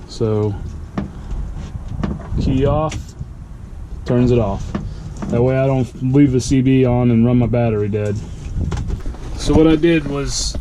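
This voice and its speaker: A man's voice: mid-range voice, slight background noise. Easygoing man talking through a repair. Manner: relaxed, practical, thinking aloud with fillers. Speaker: channel host. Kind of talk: casual how-to narration. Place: truck cab and engine bay.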